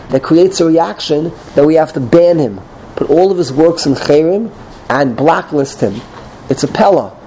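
Man teacher with a cold, mellow voice, slightly raised pitch, casual digressive class, faint room hiss. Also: poor audio. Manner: digressive, restarts phrases, mixes in Hebrew terms. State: has a cold.

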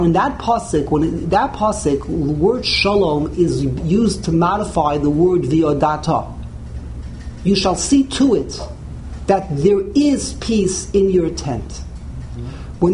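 A man's voice: sits high, a little mellow, slight background noise, low-quality recording. A man teaching a Torah class, explaining in an emphatic and repetitive way and often restarting his phrases.

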